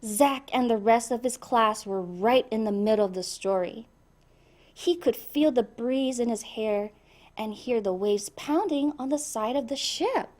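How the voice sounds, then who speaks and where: Slow, high-voiced woman reading to children. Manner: expressive, addresses child listeners. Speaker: storybook reader. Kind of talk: animated children's read-aloud.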